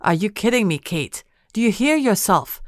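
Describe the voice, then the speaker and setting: Woman voicing a protective husband, forceful, argumentative, dry recording. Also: sits high, sing-song, moderate pace, no echo, voice pushed up. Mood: exasperated. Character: protective young husband, frustrated and firm.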